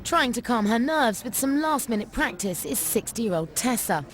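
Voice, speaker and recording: A woman's voice: fairly high pitch, lively intonation, normal speed, crowd noise. A woman reading the scripted voice-over for a TV talent show. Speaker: narrator. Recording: music under voice-over.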